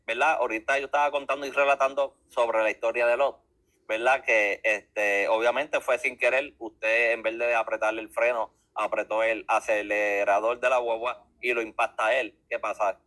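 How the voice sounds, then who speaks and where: Male religious show host, medium pitch, sharp bright tone, conversational preaching. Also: steady tone, moderate pace.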